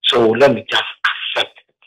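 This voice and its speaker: A man from Ghana, calling in to a radio show by phone and telling his story haltingly, with restarts. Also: medium pitch, fairly even intonation, pushed and bright, husky, low-quality recording. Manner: heavy, halting account with restarts. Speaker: caller.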